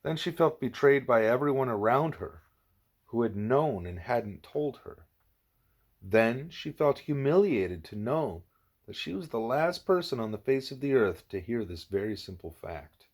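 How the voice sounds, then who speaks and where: A man's voice: medium pitch, animated, unhurried, a little mellow. A man reading the lines of an earnest, questioning young girl pupil in a novel, in a thoughtful manner. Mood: earnest, reflective.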